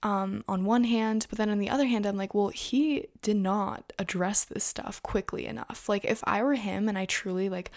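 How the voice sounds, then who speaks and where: A young woman talks straight to her viewers in a loose, chatty vlog style, her voice slightly raspy today. She sits in a middle pitch for a woman and keeps the talk rolling with constant "like" and "you know". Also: steady tone, normal speed, hardly any pauses, darkish tone. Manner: chatty, filler-heavy, frequent "like" and "you know". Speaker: influencer news vlogger. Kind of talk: casual gossip commentary to viewers.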